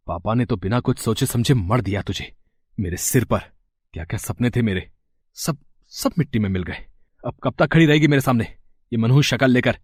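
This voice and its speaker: A man's voice: mid-range voice, slightly rough, no room sound, studio quality. An actor voicing an arrogant, spoiled young rich husband who scorns his wife in a cartoon story. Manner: scornful, harsh, shouting insults. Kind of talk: cartoon voice acting.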